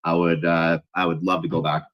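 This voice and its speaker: A man's voice: deep voice, level tone, fairly quick. A man chatting casually on a live stream, laid-back and calling people bro. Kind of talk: casual chat. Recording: dull lo-fi call audio.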